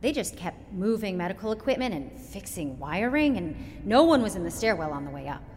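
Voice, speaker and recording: A woman's voice: mid-range voice, sing-song, fairly quick, darkish tone, natural voice. A woman playing a meek, dutiful office clerk in an audio drama, hesitant and apologetic. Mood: dutiful, nervous. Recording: echoing hall, faint noise.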